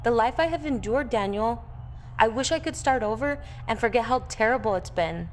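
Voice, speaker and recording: A woman's voice: fairly high pitch, animated, normal speed, slight background noise, lightly acted. A woman acting as a cool, earnest, haunted heroine in an audio drama. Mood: troubled. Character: cool, haunted female robot with troubled memories.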